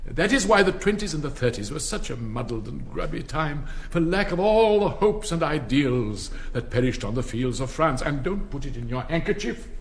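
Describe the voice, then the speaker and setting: Casting: an old upper-class English headmaster, declamatory and pompous, lightly high voice, noisy radio drama recording. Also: male voice, lively intonation, normal speed, vocal fry, few pauses. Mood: stern, irritated. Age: older. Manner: pompous, declamatory, sonorous oratory with stern asides. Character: elderly pompous retiring English public-school headmaster. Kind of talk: theatrical ceremonial speech in a radio drama.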